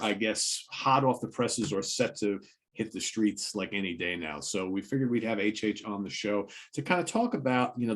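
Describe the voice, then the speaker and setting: Middle-aged male host, low pitch, relaxed rambling interviewer. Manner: relaxed, rambling questions with fillers. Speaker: podcast host. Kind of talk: casual interview chat.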